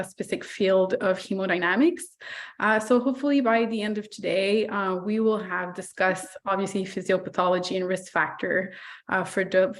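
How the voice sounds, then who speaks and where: A woman neonatologist with a hoarse voice from laryngitis, giving a chatty online medical lecture over a video call, full of fillers and playful asides. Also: mid-range voice.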